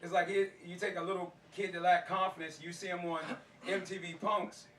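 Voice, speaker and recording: A man's voice: sits high, flat delivery, a little fast, pushed and bright. A middle-aged American man, a martial arts teacher, talking informally to an audience in colloquial slang, repeating phrases for emphasis. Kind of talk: informal talk to a live audience. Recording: echoey room, distant mic, faint noise.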